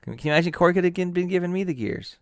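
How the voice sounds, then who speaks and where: A man's voice: high-pitched, lively intonation, very fast, dry recording, studio quality. A man co-hosting a casual podcast while feeling sick, telling rambling, profane, self-mocking stories. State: ill, under the weather. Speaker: podcast co-host. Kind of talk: casual chat.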